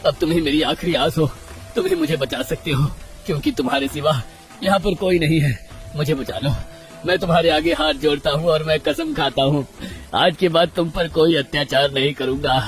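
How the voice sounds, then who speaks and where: Man as greedy cartoon village master, emphatic, dramatic, slightly high voice, faint music. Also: lightly acted. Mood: begging. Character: greedy, cruel male village master, self-pitying when cornered. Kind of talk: Hindi cartoon story voice acting.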